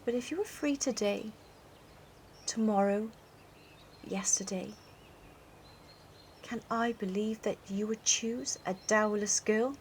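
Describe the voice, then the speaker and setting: A young actress playing a young woman gently releasing her former fiancé with measured, sorrowful words. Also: mid-range voice, slight background noise, natural voice. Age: young adult.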